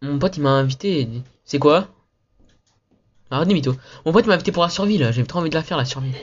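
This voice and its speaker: A man's voice: on the high side, animated, muffled recording. A man making a gaming video, chatting casually in a slangy, rambling way, with some phlegm in his throat. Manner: slangy, rambling, talks fast. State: says he is sick, phlegm in throat. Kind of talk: casual gaming chat with an upbeat video intro.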